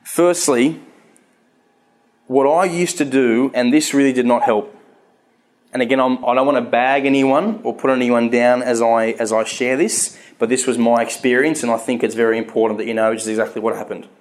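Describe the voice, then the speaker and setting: A man's voice: mid-range voice, fairly even intonation, moderate pace. A man in his thirties with a stutter, giving a candid, earnest personal talk on faith to a live audience, often restarting his words.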